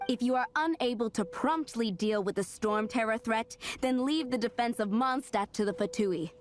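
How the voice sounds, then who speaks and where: Woman as haughty female diplomat, formal, condescending, clipped phrases, video game drama, clear music underneath. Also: a little high, normal speed, natural voice. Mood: cool, pressing. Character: haughty, coolly pushy female diplomatic envoy. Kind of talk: anime-style video game acting.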